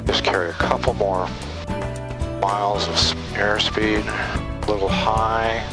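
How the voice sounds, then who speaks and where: Older male pilot, low register, casual instructive cockpit chat on intercom, music underneath. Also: little pitch movement, measured pace. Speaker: pilot in command. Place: small airplane cockpit in flight. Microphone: aviation headset boom mic. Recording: intercom headset audio with music behind.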